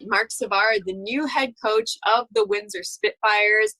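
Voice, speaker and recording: A woman's voice: medium pitch, unhurried, bright, forceful tone, faint music behind, rough recording. A woman conducting a prepared sports interview.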